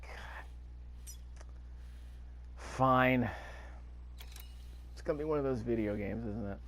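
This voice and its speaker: A man's voice: mid-range voice, takes it slowly, a bit bright. A man giving casual gameplay commentary, thinking aloud with mild grumbling and rhetorical questions. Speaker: video game player narrating a playthrough.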